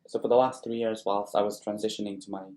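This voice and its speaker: A man's voice: fairly low pitch, fairly even intonation, fast, darkish tone. A man in his late twenties from Poland, a podcast guest chatting casually and hesitantly, with many fillers and restarts. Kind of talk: casual chat.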